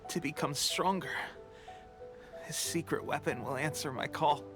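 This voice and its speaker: An actor playing a young resistance soldier who is weak and tired, coughing and speaking in halting, hesitant phrases. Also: male voice, a little high, music in the background, close to an everyday voice. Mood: weary. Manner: halting, hesitant, with trailing restarts. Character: young male resistance soldier, loyal, fading. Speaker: game character. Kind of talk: game cutscene voice acting.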